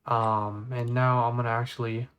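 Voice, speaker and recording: A man with a medium-pitched voice gives an informal screen tutorial. He talks through each small step as he does it, thinking aloud in an everyday conversational way. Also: level tone, normal speed, fairly bright tone, clear, resonant tone, dull audio. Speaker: tutorial host. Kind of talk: casual screen-recorded software tutorial.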